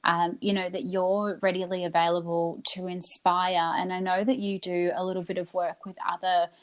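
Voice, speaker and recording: A woman's voice: on the low side, little pitch movement, moderate pace. A woman hosting a podcast, affirming her guest and asking long conversational questions. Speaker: podcast host. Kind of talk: interviewer, conversational.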